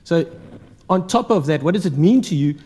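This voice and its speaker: A man's voice: on the high side, lively intonation, normal speed, a little mellow, in a large hall. A man with a South African accent giving a jokey, conversational conference talk, with lots of ums and uhs. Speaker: conference speaker. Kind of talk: conference presentation. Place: large conference hall.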